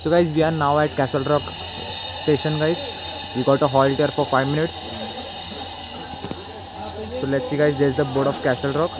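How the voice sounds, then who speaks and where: A man's voice: little pitch movement, normal speed, pauses often. Young male travel vlogger, Indian accent, casual commentary to viewers, handheld phone against heavy railway noise. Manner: repeatedly addresses viewers as guys. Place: on a train and station platforms at night. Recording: loud train rumble and engine noise.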